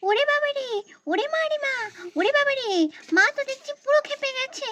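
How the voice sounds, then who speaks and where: A woman's voice: very high voice, expressive, slow, a bit bright, exaggerated voice. A woman playing a playful, mischievous little girl, singsong and full of childlike exclamations, in an amateur children's story. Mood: panicked.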